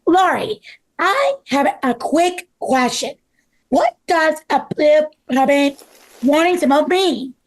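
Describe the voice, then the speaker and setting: A woman plays a young girl in a scripted read. The voice sits very high and moves slowly, with an innocent, inquisitive manner. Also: expressive, poor audio. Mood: curious. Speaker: actor in a table read.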